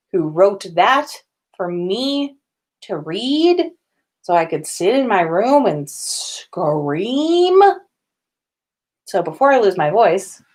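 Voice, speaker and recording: A woman hosting a casual story reading, expressive and given to drawn-out emphasis as she narrates and comments. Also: mid-range voice. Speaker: podcast host reading listener-written fan fiction aloud. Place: own room at home.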